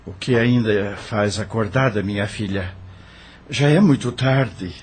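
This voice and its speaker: An actor playing a soft-spoken, worried middle-aged doctor talking tenderly with his daughter in an audio drama. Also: fairly low pitch, a little slow, husky. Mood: gentle. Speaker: father in radio drama.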